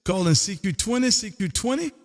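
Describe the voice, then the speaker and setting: A man's voice: sits high, lively intonation, faint music behind. A man from Texas hosting an amateur radio live stream, making calls and chatting casually in a folksy showman's way. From Texas, United States. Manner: folksy showman, drawn-out call signs, peppered with "man". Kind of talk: ham radio contact calls and casual chat. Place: home radio room. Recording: long hall-like reverb.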